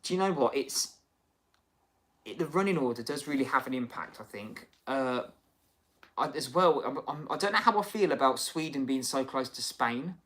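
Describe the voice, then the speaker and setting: A man's voice: mid-range voice, lively intonation, normal speed, a bit bright. Male streamer, chatty and rambling, reads viewer comments, casual host. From United Kingdom. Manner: chatty, rambling, many fillers and restarts. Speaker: livestream host.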